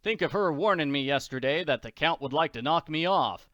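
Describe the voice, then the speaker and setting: Bluff middle-aged tycoon, read by a man; hearty and teasing, bright clear voice. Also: fairly high pitch, expressive, a little fast, dry recording, muffled recording. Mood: reassuring.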